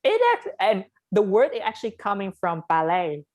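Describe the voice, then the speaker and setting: A woman's voice: medium pitch, expressive, normal speed, darkish tone. A young woman with a Vietnamese accent, speaking frankly and with animation in a casual conversation. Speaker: interviewee.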